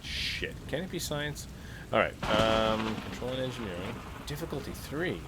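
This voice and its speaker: A man's voice: mid-range voice, lively intonation, normal speed. Questioning male gamer, noisy background. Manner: questioning, checks rules aloud. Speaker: tabletop game player. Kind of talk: casual game chat.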